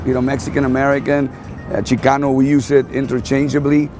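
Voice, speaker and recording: A man's voice: medium pitch, level tone, brisk. A man giving earnest, reflective scripted documentary narration. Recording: narration over loud music.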